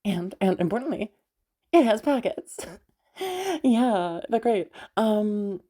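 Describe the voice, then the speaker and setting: A young woman acting in an audio roleplay as a caring, teasing party host, talking in a rambling, hesitant, softly reassuring way. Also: mid-range voice, slow pace, lightly acted. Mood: friendly, rambling. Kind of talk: audio roleplay, naturalistic one-sided dialogue.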